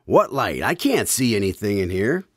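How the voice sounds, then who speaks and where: A radio drama presenter, polite and a bit nervous. His delivery swings widely in pitch, in an announcer's manner. The sound is close and dry. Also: very animated, normal speed, no room sound. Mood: nervous, curious. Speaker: announcer and host. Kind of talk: old-time radio show presenting.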